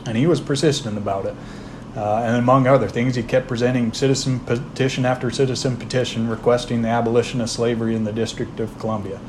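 A man hosting a podcast, talking through history in a plain, unhurried way with frequent "uh" fillers and restarts. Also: medium pitch, noisy background. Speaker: podcast host. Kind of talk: informal history talk.

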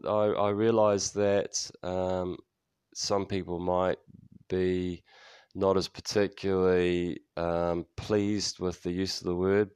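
A man in his fifties with a New Zealand accent speaks in a very deep, smooth voice. He rambles openly through a solo podcast, restarting sentences and swearing freely. The sound is dry and close. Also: dry recording. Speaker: podcast host. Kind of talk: casual solo podcast monologue.

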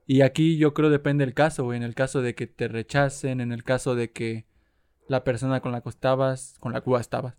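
A man's voice: medium pitch, flat delivery, fairly quick, a little mellow, smooth. A young man with a Mexican Spanish accent chats casually, joking, laughing and asking questions. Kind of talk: casual chat.

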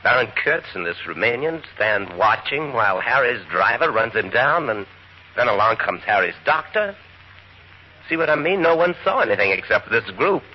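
A man's voice: mid-range voice, expressive, normal speed, highs cut off, natural voice. An actor playing a dogged, impatient man who pushes his questions insistently. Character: dogged, impatient American male writer. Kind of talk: 1940s radio acting. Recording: hissy old radio broadcast recording.

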